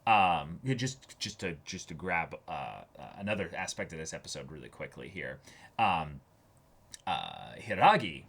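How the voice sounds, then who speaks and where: A man's voice: low voice, vocal fry. A young man in casual discussion as co-host of a reaction show, often repeating words and acting out moments as he talks. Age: young adult. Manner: animated, halting and repetitive, acting out moments. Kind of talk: casual chat, episode discussion. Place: small indoor room, seated before a backdrop.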